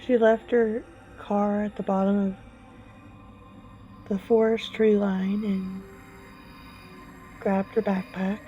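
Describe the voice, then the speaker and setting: A woman narrating a scripted horror story in a slow, hushed, suspenseful manner with long deliberate pauses. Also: medium pitch, little pitch movement. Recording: dull lo-fi, music bed behind. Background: background music.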